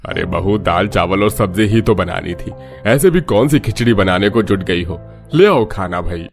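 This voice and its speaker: A man's voice: low-pitched, moderate pace, faint music behind. A man voicing a demanding, hearty older father-in-law in a cartoon family drama, speaking bluntly.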